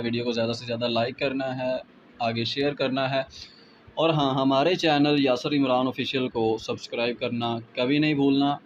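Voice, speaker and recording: A man with a Pakistani accent giving a casual step-by-step app tutorial as a YouTuber, addressing viewers as friends. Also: mid-range voice. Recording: muffled lo-fi with clear background noise.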